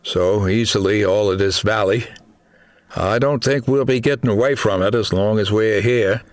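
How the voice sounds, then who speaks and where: A man's voice: on the low side, moderate pace, no echo, lightly acted. A man voicing a grave, knowing jungle tracker in an audiobook, speaking matter-of-factly.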